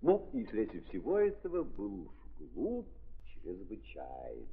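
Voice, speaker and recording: A man narrating as a grave, ironic storyteller in a theatrical 1930s stage style, with emphatic dramatic pauses. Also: on the low side, wildly swooping pitch, heavily acted. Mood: wry, ironic. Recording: muffled 1930s recording, long reverb.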